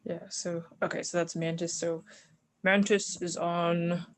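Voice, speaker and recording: A woman's voice: lowish voice, little pitch movement, a little slow, a little hiss or hum. A woman casually improvising descriptions of comic panels, amused and hesitant as she searches for words.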